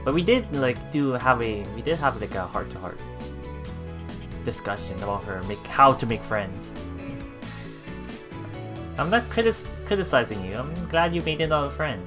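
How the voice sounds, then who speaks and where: Woman, very deep voice, animated intonation, let's play narration, playful asides, game music behind. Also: moderate pace, pauses often. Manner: playful, expressive. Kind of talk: reading game text aloud with casual commentary.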